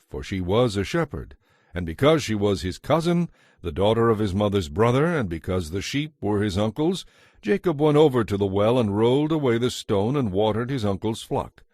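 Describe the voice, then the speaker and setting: Deep, expressive male Bible narrator. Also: normal speed, dry recording, clean studio sound. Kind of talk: dramatized scripted Bible narration.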